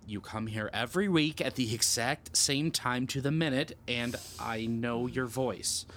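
A man playing a gentle, flustered young priest in an audio drama, hesitant, apologetic and prone to stammering. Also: mid-range voice, a little slow, a little hiss or hum, lightly acted. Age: young adult.

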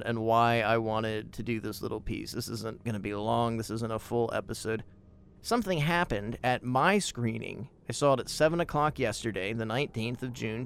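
A man hosting a podcast, telling personal stories and opinions in an emphatic, profane way. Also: mid-range voice. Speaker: podcast host. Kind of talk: spoken podcast commentary and personal anecdote.

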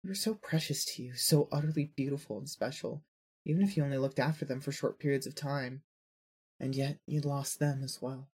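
Husky, mid-pitched dramatic narrator. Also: steady tone, brisk, darkish tone. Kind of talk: scripted dramatic second-person narration.

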